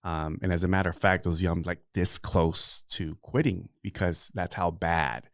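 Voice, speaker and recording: A man's voice: low voice, moderate pace, vocal fry, no echo, clean studio sound. A middle-aged man speaking as a podcast consultant guest, answering interview questions in an earnest, rambling way with many fillers.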